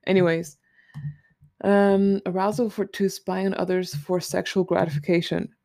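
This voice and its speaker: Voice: mid-range voice, fairly even intonation, moderate pace, creaky, darkish tone, dry recording, studio quality. A host chatting casually and candidly alone, rambling informally with some swearing. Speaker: solo podcast host. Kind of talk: casual rambling chat, commenting on a list.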